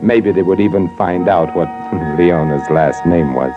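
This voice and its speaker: A lowish man's voice in a vintage radio play: a cold, cynical newspaperman with terse, bitter noir delivery. Music runs strongly behind him, and the old recording sounds muffled. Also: unhurried. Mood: grim. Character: cold, cynical, hard-boiled male newspaper columnist. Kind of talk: 1940s radio drama acting.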